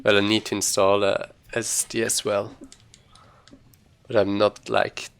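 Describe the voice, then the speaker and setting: A man with a fairly low voice streams a video game speedrun. He talks informally and rambles a little, thinking aloud as he plays. It is casual live commentary. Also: flat delivery, unhurried, gravelly, fairly bright tone, clean tone.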